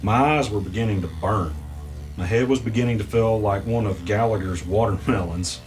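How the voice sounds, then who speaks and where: A man acting as the weary narrator of a mystery audio drama, recounting an arcade ordeal in a measured, halting way. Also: lowish voice, darkish tone, faint music behind, close to an everyday voice. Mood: wry, suspenseful recounting. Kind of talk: first-person audio drama narration with dialogue.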